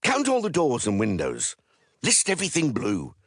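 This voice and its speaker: Preoccupied father, very animated delivery. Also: medium pitch, no room sound, studio quality. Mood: brisk, dismissive. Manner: offhand, brisk, distracted. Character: preoccupied, brisk father working at home. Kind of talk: audiobook drama acting.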